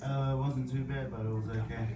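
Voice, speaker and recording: A man with a sore throat giving a rambling, relaxed thank-you speech as team organiser. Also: medium pitch, brisk. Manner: rambling and relaxed with many fillers. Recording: distant echoey noisy room recording.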